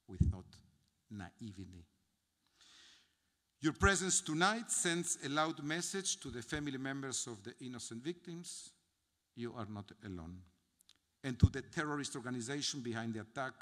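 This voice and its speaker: An older man with an Argentine Spanish accent preaches at a synagogue podium mic, slow and solemn, letting phrases fall quiet at their ends. His mid-pitched voice rings out into the long reverb of a large sanctuary. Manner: solemn, measured, reading from notes with dramatic pauses. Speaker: rabbi. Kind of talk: memorial sermon. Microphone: gooseneck podium mic.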